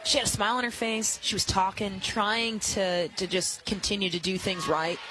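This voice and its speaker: A woman giving live softball analysis as a radio color commentator, explaining plays in an analytical, coach-like way. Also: mid-range voice, fairly even intonation, normal speed, noisy background.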